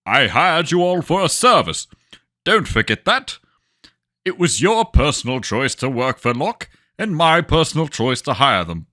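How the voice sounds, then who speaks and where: Sci-fi radio drama: male actor as haughty rich client, male, pompous and wordy, with swooping pitch, easily rattled. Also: big pitch swings, a little slow, pushed and bright, full character voice. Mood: haughty, indignant. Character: self-important wealthy corporate client, haughty, easily panicked. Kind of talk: sci-fi audio drama acting.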